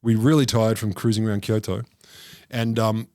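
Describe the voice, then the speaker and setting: A man's voice: on the low side, level tone, moderate pace, a little mellow, dry recording, clean studio sound. A man co-hosting a casual comedic science podcast, reading out study findings with wry jokes and asides. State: married. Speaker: podcast co-host presenting a science topic.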